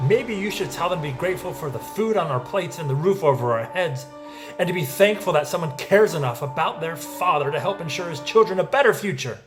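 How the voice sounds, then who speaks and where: A man's voice: on the high side, animated, a little fast, music in the background, lightly acted. A man acting a defensive, hard-working husband and father in a musical's dramatic dialogue. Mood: defensive, exasperated.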